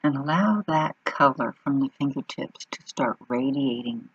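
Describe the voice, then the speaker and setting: A woman leading an unscripted guided meditation in an unhurried manner, pausing between short phrases. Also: rumbling low, slightly rough, no room sound, lo-fi sound.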